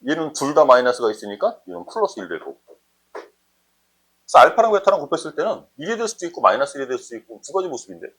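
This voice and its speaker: Male math tutor, husky voice with animated intonation; informal, explains step by step and checks in often. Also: on the high side, rapid-fire. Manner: conversational, often checks understanding with short questions. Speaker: math teacher. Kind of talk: informal explanatory math lesson, thinking aloud.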